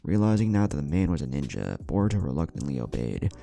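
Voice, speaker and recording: A man's voice: extremely low pitch, very animated, fast talker, gravelly, dark tone. A man reading an adventure story as a dramatic scripted narration. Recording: background music under the voice. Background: music.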